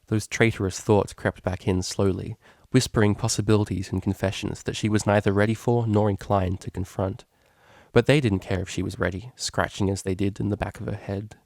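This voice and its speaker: Low, creaky male story narrator. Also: steady tone, fairly quick, a little mellow, dry recording, clean studio sound. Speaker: narrator, fan fiction reader.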